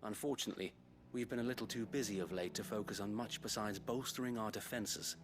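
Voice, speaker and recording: Actor as a well-spoken English knight captain, music underneath. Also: male voice, fairly low pitch, fast talker, close to an everyday voice. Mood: thoughtful, regretful. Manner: formal, articulate, expressive. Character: well-spoken knightly guard captain, dutiful, courteous, burdened. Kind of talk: fantasy video game voice acting. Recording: music behind the voice.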